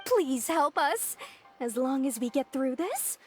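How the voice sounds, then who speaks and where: A young damsel from a video game village, her voice extremely high and sweet. She is theatrical and imploring in every phrase. Soft music plays behind her. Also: slow, exaggerated voice. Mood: pleading. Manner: theatrically tearful, pleading, flattering. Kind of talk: exaggerated video game voice acting.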